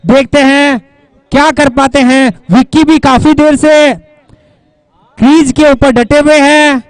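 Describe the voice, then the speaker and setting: बहुत ऊँची आवाज़ वाला क्रिकेट कमेंटेटर. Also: male voice, very high voice, steady tone, unhurried, no echo, rough recording. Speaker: cricket commentator and announcer. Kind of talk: live match commentary with rhyming quips.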